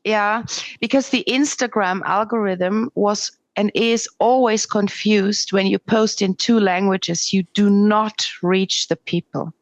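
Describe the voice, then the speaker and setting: A middle-aged woman with a Swiss German accent, speaking English as a second language, talking candidly as a podcast guest over a remote call with halting restarts. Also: medium pitch, steady tone, no echo. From Switzerland. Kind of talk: interview conversation.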